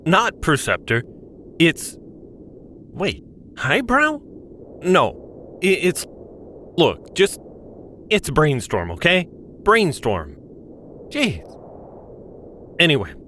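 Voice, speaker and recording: Man as a glib, lively, highish-voiced robot scientist. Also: very slow, pauses often, a little hiss or hum, full character voice. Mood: exasperated. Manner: sarcastic, hesitant asides and tactless jokes. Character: flippant, glib robot scientist, vain and irreverent. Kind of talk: audio drama acting.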